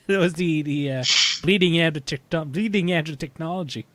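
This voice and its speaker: A man's voice: a little high, normal speed, dry recording. A man co-hosting a podcast over an internet call, chatting casually and informally. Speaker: podcast co-host. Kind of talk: casual chat.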